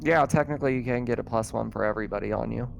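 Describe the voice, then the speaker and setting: A man running a tabletop roleplaying game as game master, describing scenes in a halting, improvised way. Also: medium pitch, vocal fry, a little hiss or hum.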